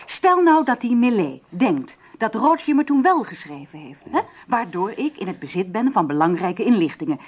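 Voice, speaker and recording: Radio play female lead: mid-pitched, breathy edge, puzzled and earnest, hesitant questioning delivery. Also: very animated, normal speed, few pauses, lo-fi sound. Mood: puzzled, pondering. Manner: hesitant, stammering over words, questioning. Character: earnest, puzzled woman investigating her brother's mystery.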